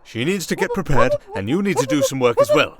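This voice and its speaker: A man's voice: fairly high pitch, wildly swooping pitch, fast talker, heavy background noise. A man playing a grumpy, put-upon, work-shy servant of a vampire lord in an ASMR roleplay, casual and exasperated.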